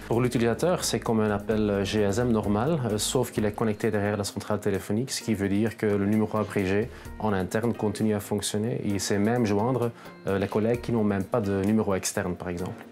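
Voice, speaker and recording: Man, low voice, explanatory, matter-of-fact, corporate testimonial, light background music. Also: fairly even intonation, brisk, darkish tone. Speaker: telecom solution specialist.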